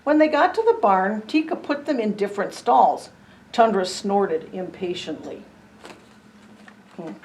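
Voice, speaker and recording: A woman reads a picture book aloud for children. Her voice is medium in pitch, and she tells the story expressively, with a dramatic touch. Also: animated, a little slow, pauses often, darkish tone, a little hiss or hum, low-quality recording. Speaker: storybook reader. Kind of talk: children's story read-aloud with chatty asides.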